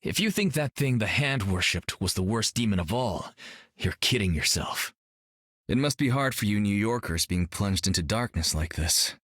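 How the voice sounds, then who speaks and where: A hardened video game warrior, skeptical and ominous. His blunt voice sits low and very dry. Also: expressive, moderate pace, fairly bright tone, husky, no room sound. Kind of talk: video game character voice lines.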